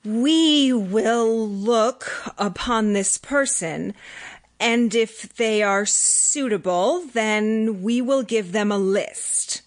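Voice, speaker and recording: A woman voicing a cold, imperious non-human being in a human body, speaking flatly, formally and haltingly in an audiobook. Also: fairly high pitch. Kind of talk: audiobook character acting.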